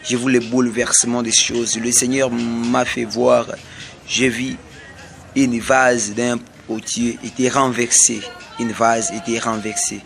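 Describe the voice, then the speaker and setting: A man from Congo with a Congolese French accent, delivering a slow, repetitive prophetic sermon. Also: mid-range voice, little pitch movement, gravelly, fairly bright tone, slight background noise. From Congo (DRC). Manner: slow, repetitive, emphatic pronouncements. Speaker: self-styled prophet.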